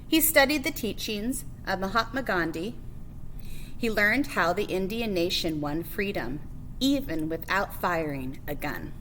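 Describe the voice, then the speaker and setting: A woman's voice: medium pitch, animated, a little slow, a bit bright, slight background noise, poor audio. A woman reading a children's picture book biography aloud as a librarian, phrase by phrase with emphasis on key words.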